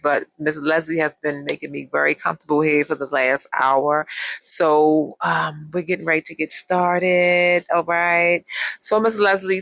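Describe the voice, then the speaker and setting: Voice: mid-range voice, vocal fry, sharp tone, no echo. An interviewer asking easygoing, encouraging questions in a casual sit-down chat.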